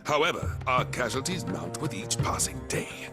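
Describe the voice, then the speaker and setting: A man's voice: medium pitch, big pitch swings, normal speed, over loud music, lightly acted. A man playing a stern, authoritative ruler at a war council in a game cutscene, speaking formally. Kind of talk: dramatic video game cutscene acting.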